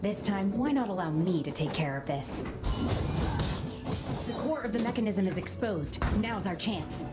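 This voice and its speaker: An actress voicing an aloof, composed young woman trained by immortals, speaking in a calm, formal, measured way in a video game. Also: mid-range voice, close to an everyday voice. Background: game music.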